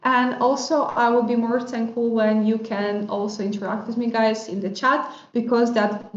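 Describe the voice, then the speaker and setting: A woman hosting a livestream panel on a video call, talking in a slightly halting way with non-native phrasing. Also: medium pitch. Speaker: host. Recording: roomy, dull lo-fi stream audio.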